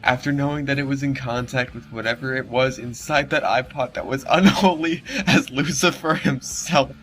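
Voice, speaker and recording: A man's voice: medium pitch, steady tone, moderate pace, fairly bright tone. A man reading a story in a mock-dramatic way, breaking into casual, sarcastic and exasperated commentary. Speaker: narrator reading and mocking a horror story. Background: background music under the voice.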